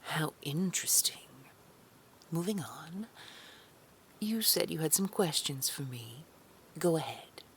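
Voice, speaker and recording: Woman acting a creaky-voiced, sugar-sweet menacing boss lady. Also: deep voice, lively intonation, takes it slowly, long pauses, a little hiss or hum, full character voice. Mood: sweetly menacing. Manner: syrupy endearments, condescending, veiled threat. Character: sugary, menacing, controlling female boss of a secret order. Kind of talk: scripted audio drama roleplay.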